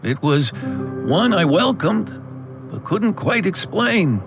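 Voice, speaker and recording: A man narrating a scripted audio drama in the first person with wistful, expressive storytelling. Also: high-pitched, slow pace, music in the background.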